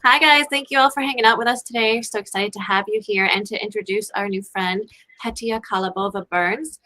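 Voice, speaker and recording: A woman's voice: mid-range voice, steady tone, a little fast, sharp tone. A woman co-hosting a talk show, introducing a guest in an enthusiastic, upbeat way. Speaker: co-host.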